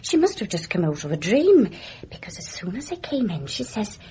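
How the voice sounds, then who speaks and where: An actress playing a chatty, gossipy Irish nurse in a country house in a radio drama, with an Irish accent. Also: female voice, mid-range voice, sing-song, moderate pace, mellow, breathy edge. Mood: confiding, hushed.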